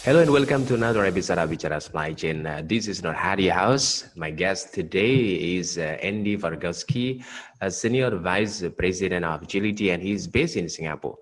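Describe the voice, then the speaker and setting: A man's voice: low-pitched, expressive, brisk. A man hosting a podcast, asking short questions with hesitant fillers. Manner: brief prompts with hesitant fillers. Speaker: podcast host. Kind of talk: interview hosting.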